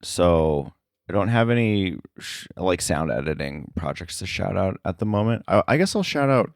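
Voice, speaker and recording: A man's voice: low voice, sing-song, normal speed, no echo, clean studio sound. A man chatting casually as an interview guest on sound editing work, hesitant and often thinking aloud. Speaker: podcast guest, sound effects editor.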